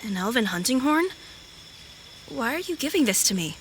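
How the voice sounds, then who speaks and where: A woman's voice: fairly high pitch, very animated, moderate pace, slight background noise. A woman playing a sarcastic, plucky young fighter with a wry, feisty manner in a fantasy audio drama. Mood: wry, curious.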